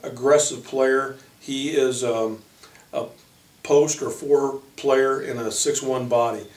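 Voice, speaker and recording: A man speaking as a college basketball coach, introducing players informally in a halting way with frequent pauses. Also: medium pitch, fairly even intonation. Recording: echoey room, distant microphone.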